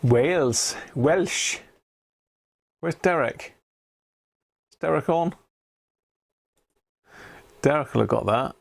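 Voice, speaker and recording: A man's voice: on the high side, takes it slowly, vocal fry, pauses often, no echo. A man hosting a live radio stream, casually commenting as he tunes through stations and reads out viewers' reports.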